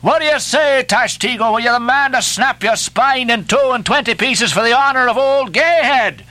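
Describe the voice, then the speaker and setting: A man's voice: very high-pitched, normal speed, bright, forceful tone, no room sound, voice pushed up. A man voicing a boisterous whaling mate from a storybook, goading his rowers with jovial fury in bellowed, cajoling exclamations. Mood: indignant rallying. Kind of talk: storybook character voice in a novel reading.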